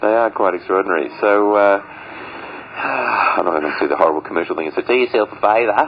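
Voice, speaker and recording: Male radio host, low pitch, chatty, enthusiastic, joking, dull lo-fi sound with faint noise. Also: brisk. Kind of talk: casual, chatty radio interview.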